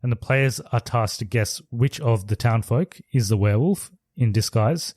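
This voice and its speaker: A man's voice: mid-range voice, moderate pace, a little mellow, no room sound. A man co-hosting a podcast, casually retelling a movie plot in a loose, rambling way with restarts. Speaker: podcast co-host. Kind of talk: casual chat reviewing movies.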